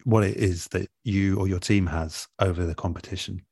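A man in a relaxed interview, explaining things in a thoughtful, measured and earnest way as an experienced producer. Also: low-pitched, slightly rough, no room sound, studio quality. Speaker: interview guest, audio drama producer. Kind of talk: relaxed advice-giving conversation.

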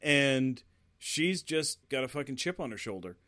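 A man's voice: mid-range voice, expressive, unhurried, bright, forceful tone, no echo, clean studio sound. Blunt, profane man chatting about a movie. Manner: blunt, swears a lot, laughs often. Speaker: movie review podcast co-host. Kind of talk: casual profane chat.

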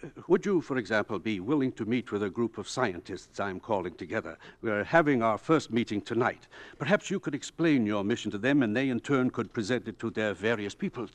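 A man's voice: fairly low pitch, expressive, fairly quick, natural voice. An actor playing a curious, thoughtful science professor in a 1950s radio drama, hesitant as he searches for words. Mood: probing, cautious. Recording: 1950s radio broadcast recording.

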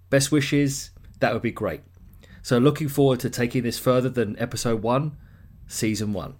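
A man with an English accent hosting a solo podcast in a loose, chatty way, full of ums and uhs. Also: medium pitch. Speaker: podcast host.

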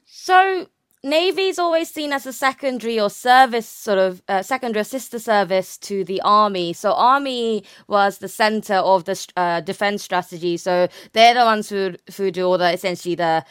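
Young woman researcher, clear smooth voice. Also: mid-range voice, moderate pace, no room sound, clean studio sound. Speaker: interview guest, academic researcher. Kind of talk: conversational academic explanation.

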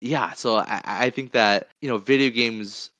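A man's voice: medium pitch, a little slow, fairly bright tone, no echo. A man co-hosting a casual podcast, talking in an enthusiastic, rambling way. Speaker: podcast co-host. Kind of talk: casual podcast chat about movies.

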